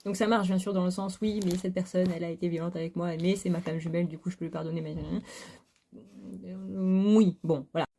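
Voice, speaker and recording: A woman's voice: fairly low pitch, fairly even intonation, a little fast, dark tone. A woman talking frankly to her viewers in a casual home vlog, full of fillers and restarts. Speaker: spiritual YouTuber. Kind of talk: casual talking-to-camera monologue. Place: home, vacuum cleaner running nearby.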